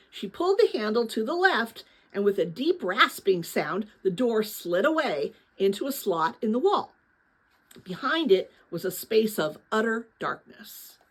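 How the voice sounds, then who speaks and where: A woman narrating a children's novel as a dramatic read-aloud, with an expressive delivery aimed at young listeners. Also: mid-range voice, husky. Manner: expressive, pauses to address young listeners with questions.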